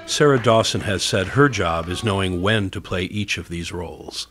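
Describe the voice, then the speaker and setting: A man's voice: deep voice, moderate pace, fairly bright tone. A man with an American accent reading scripted documentary narration. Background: background music.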